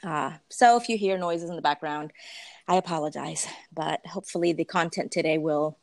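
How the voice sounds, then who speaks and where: A woman's voice: sits low, normal speed, dry recording. A woman hosting a podcast, chatting casually and bubbly over a remote call, with lots of laughter and fillers. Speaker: podcast host. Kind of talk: casual conversational chat. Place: staying in Puerto Rico, remote call.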